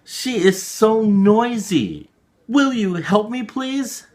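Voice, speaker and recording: A man plays an earnest child in a storybook tale. The voice is lifted high and swings widely, pleading with slow, emphatic care. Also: expressive, darkish tone. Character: pleading, earnest child narrator with a noisy grandmother.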